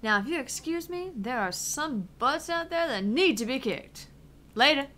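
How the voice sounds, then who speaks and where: A woman's voice: sits high, lively intonation, moderate pace, sharp tone, slight background noise. A woman voicing a brash, cocky, foul-mouthed female game streamer in a visual novel, with taunting, theatrical delivery. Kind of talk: visual novel read aloud in character.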